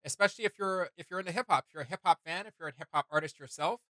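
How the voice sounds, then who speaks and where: A man with a bright, forward voice talks casually as the host of a reaction show. He rambles enthusiastically, thinking out loud as he goes. The sound is close and dry. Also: on the high side, racing, dry recording. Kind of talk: casual chat.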